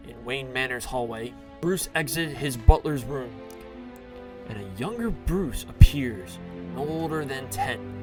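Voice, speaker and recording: A man narrating an audio drama with a campy, dramatic announcer delivery in the style of a 1960s serial. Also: medium pitch. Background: music.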